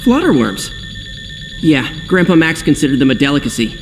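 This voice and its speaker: A man playing an eager, determined teenage boy hero in a video game cutscene, animated and earnest. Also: a little high, moderate pace. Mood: casual. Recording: hall echo, loud music behind.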